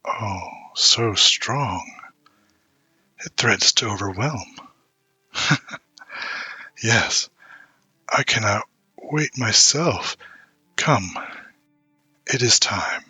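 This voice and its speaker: A man's voice: fairly low pitch, bright, forceful tone, no room sound, heavily acted. A man playing a seductive, patient spirit of the void in an intimate audio roleplay, lingering on words and often slipping into a whisper. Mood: intense, coaxing. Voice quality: breathy, often dropping into whisper. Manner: slow, lingering, hypnotic.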